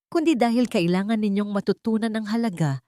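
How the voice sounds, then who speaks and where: A woman's voice: mid-range voice, fairly quick, mellow, dry recording, voice pushed up, natural voice. A woman voicing a cold, resolute wronged wife who speaks with composure in an audio drama. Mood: firm, quiet.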